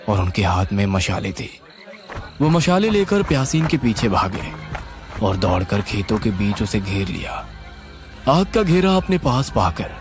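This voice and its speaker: A man narrating a scripted horror story in a suspenseful, theatrical way. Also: fairly low pitch. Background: music.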